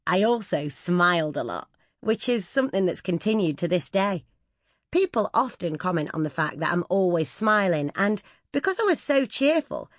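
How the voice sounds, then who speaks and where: A woman's voice: medium pitch, fairly bright tone, no room sound, clean studio sound. A woman reading a scripted first-person memoir as an audiobook narrator.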